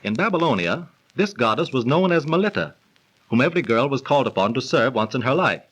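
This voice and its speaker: A man's voice: mid-range voice, animated, fairly quick, a bit bright, slightly rough. An actor playing a genial, learned young man who explains legends in polished, theatrical 1930s radio style. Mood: storytelling. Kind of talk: 1930s radio acting and storytelling. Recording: old 1930s radio transcription recording.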